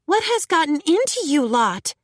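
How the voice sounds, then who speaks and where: Stern elf guild master, read by a woman; high, animated and unhurried, dry recording. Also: no echo. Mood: commanding. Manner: commanding, clipped.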